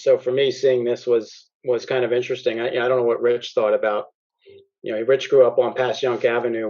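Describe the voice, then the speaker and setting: A man's voice: mid-range voice, darkish tone. Guy explaining his research, lots of ums and you knows, mid voice, kinda muffled audio. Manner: explanatory, frequent fillers. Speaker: author, guest. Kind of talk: explanatory interview talk. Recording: slightly dull lo-fi audio.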